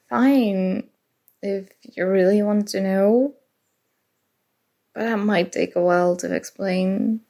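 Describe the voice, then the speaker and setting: A shy, awkward college-age woman, played by an ASMR actress talks intimately and nervously. Her mid-pitched voice is heavy with creak. She goes slowly and haltingly, as if confessing something she has long kept to herself. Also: steady tone, dark tone. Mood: resigned, fond. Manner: hesitant, halting, sighing. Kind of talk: intimate ASMR roleplay acting.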